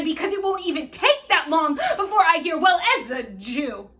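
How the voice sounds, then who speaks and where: A woman's voice: sits high, fairly bright tone. A woman acting a scathing, sarcastic, self-righteous young woman in a theatrical monologue, with biting mockery and emphatic stresses. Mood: accusing, scathing.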